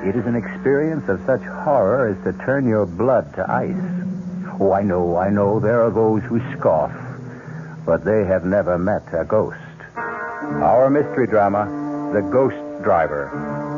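A man hosting a radio mystery drama, narrating in an ominous, theatrical, measured 1970s style. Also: lowish voice. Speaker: host of a radio mystery anthology. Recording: tinny, phone-like 1970s radio recording. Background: music.

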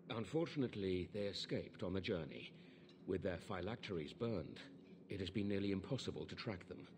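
An actor voicing an earnest, formal knight in a fantasy video game, speaking in a grave, measured manner. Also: male voice, low voice, a little mellow, a little hiss or hum. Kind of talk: scripted video game dialogue.

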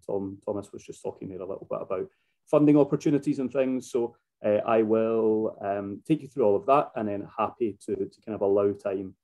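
A man's voice: lowish voice, creaky, dark tone. A man in his thirties with a Glasgow Scottish accent, presenting a university webinar over a video call, with frequent ums and restarts. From Scotland. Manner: hesitant, many fillers and restarts. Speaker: university international recruitment officer. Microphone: computer mic on a video call.

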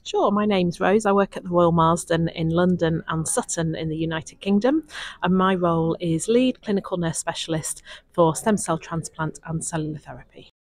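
A woman's voice: lowish voice, normal speed, no echo. A woman answering interview questions as a clinical nurse specialist. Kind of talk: conference interview.

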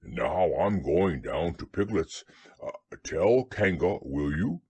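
A man voicing a slow-witted, gentle, happy toy bear in a storybook, naive and hesitant. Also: deep voice, sing-song, husky, no room sound, pitched below the performer's own voice, full character voice. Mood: muddled, earnest.

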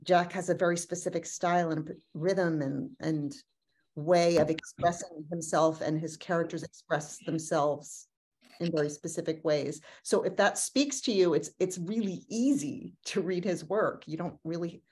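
Lower-voiced woman reflecting on a video call. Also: fairly even intonation, moderate pace, a little mellow, breathy edge. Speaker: actor in an audio drama cast. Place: room at home, indoors.

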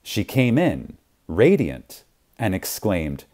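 A man narrating an audiobook in a reverent, emphatic manner with deliberate, dramatic pauses. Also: fairly low pitch, huge pitch swings, a little mellow.

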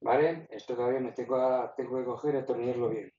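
A man's voice: medium pitch, fairly even intonation, quick pace, mellow, recorded from a distance. A man casually explaining, step by step, how he rigs his gear, talking in a stop-start way while handling it. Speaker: kayak fishing hobbyist showing his gear. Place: garage with roll-up door. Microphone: phone camera held in hand. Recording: echoey garage, phone mic, dull and noisy.

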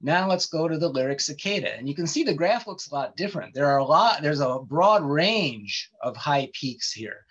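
Halting man giving an online talk. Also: fairly high pitch, fairly bright tone, breathy edge. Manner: halting, many ums and restarts. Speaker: presenter giving a webinar talk. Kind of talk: informal online lecture. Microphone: computer mic on a video call. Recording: dull lo-fi call audio.

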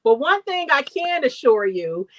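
A woman's voice: high voice, very animated, a little slow. A middle-aged woman on a video call from her home kitchen, teasing the others and boasting about her own dish. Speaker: co-host. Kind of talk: casual banter. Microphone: webcam on video call.

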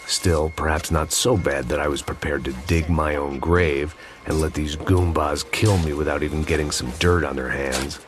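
An actor playing a bitter middle-aged ex-cop, narrating noir-style in an American accent with a sardonic, deadpan delivery. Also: male voice, a bass voice, moderate pace, few pauses. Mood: wry. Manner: world-weary, sardonic, deadpan. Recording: loud music behind the voice.

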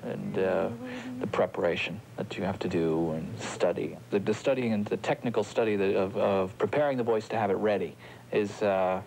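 A man's voice: lowish voice, creaky, a little mellow, slight background noise, rough recording. A middle-aged man, an opera singer, talking thoughtfully in an interview, stopping and restarting often. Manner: halting, thoughtful, many restarts. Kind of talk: reflective interview talk.

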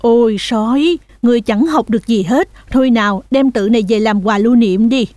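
A woman voicing a patient, cheerful mother goat in a children's cartoon, explaining things in a motherly, gently teasing way. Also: a little high, a little fast, faint music behind, close to an everyday voice. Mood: exasperated. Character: patient, cheerful mother goat guiding her kids on tours.

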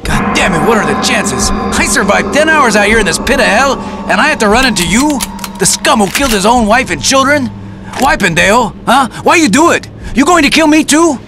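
An actor playing a furious, defiant, bitter guard in a horror game, shouting accusations and dares. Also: male voice, very high-pitched, sing-song, brisk, heavily acted. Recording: loud game music underneath.

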